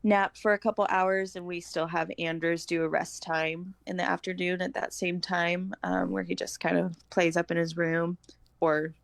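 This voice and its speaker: A woman's voice: on the low side, fairly even intonation, normal speed, gravelly, dry recording. A woman, chatting casually as a guest on a homeschooling podcast. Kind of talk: casual chat.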